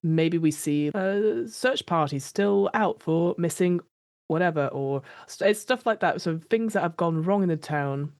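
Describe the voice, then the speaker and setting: A woman's voice: lowish voice, unhurried, a little mellow. A woman playing a tabletop roleplaying game, casually improvising descriptions of the scene.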